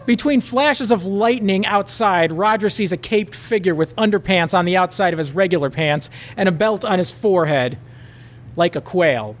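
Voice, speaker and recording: A man's voice: high-pitched, moderate pace. A man reading a raunchy comic story aloud at a live show, with mock-dramatic narration.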